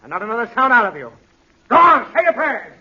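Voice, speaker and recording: Exasperated, blustering radio-comedy dad, high-pitched. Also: male voice, big pitch swings, quick pace, pushed and bright, exaggerated voice. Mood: stern, impatient. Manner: blustering, scolding. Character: exasperated, gruff father of a small child. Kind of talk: 1940s radio comedy sketch acting. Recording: muffled old radio recording with audience.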